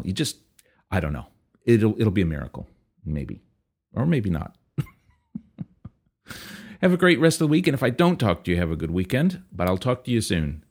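A man with a very low, husky voice talks casually into a podcast microphone. His delivery is rambling and conversational, and he often interrupts himself. The scratchiness in his throat gives the words a rough edge. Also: a little mellow. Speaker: podcast host. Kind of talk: casual solo podcast chat.

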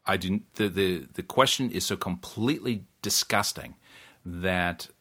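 A man's voice: deep voice, unhurried, fairly bright tone, breathy edge. A man hosting a podcast, talking casually with restarts and wry asides. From New Zealand. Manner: chatty, frequent restarts and self-corrections, wry laughs. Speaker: podcast host. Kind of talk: casual solo podcast monologue.

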